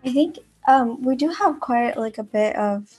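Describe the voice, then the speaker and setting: A young woman from Mexico, a university student talking casually in a podcast discussion in a halting way, often saying "like". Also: on the high side, animated, a little mellow, slightly rough, soft background music. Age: young adult. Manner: halting, frequent filler "like".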